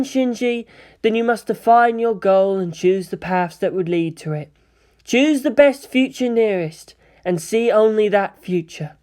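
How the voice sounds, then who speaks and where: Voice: mid-range voice, a little mellow, voice pushed up, close to an everyday voice. A narrator voicing a proud female alien seer, commanding, solemn and cryptic as a mentor.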